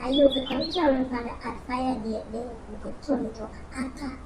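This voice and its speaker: A sharp-tongued, flirtatious Jamaican woman , played by an actress, in a radio drama. Her voice is strikingly high and full of brash Patois banter. Birds chirp in a noisy background. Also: normal speed. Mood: flirty, sassy.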